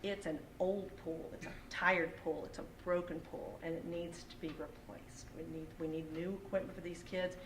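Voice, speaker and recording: A woman's voice: lowish voice, steady tone, moderate pace, hardly any pauses. A middle-aged woman from Texas with a Texan accent, giving an earnest public comment as a parent at a school board meeting. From Texas, United States. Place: indoor public meeting room. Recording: echoey, noisy meeting room, distant microphone.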